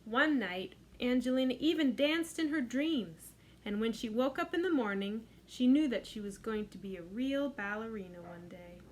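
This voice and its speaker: A middle-aged woman, a children's librarian, reading a picture book aloud at storytime in a gentle, expressive voice with sing-song lifts. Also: a little high, normal speed, slight background noise, low-quality recording.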